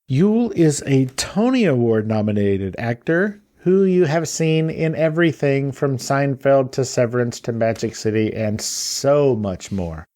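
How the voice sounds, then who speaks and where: A man hosting a podcast, gushing with enthusiasm and quick asides. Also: medium pitch, a little mellow, no room sound, studio quality. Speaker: podcast host. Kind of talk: casual podcast interview.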